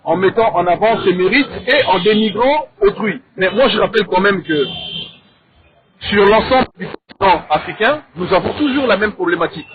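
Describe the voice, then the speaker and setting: A man with a Congolese accent debating in a measured, didactic way, in short deliberate phrases and with rhetorical questions. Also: high-pitched, expressive. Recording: thin, phone-like narrow sound.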